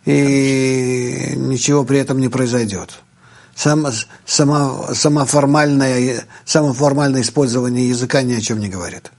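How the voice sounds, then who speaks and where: A native Russian-speaking man, a guest teacher answering viewer questions in a live talk, slow and hesitant, thinking aloud with many fillers. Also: mid-range voice, fairly even intonation, darkish tone.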